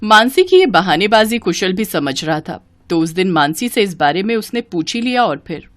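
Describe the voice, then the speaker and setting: A woman narrating a scripted Hindi family story as storyteller, with an expressive storybook delivery. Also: mid-range voice, moderate pace, no echo.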